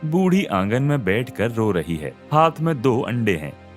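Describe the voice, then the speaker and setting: A man narrating a scripted animated moral story. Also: mid-range voice, unhurried. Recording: music behind the voice.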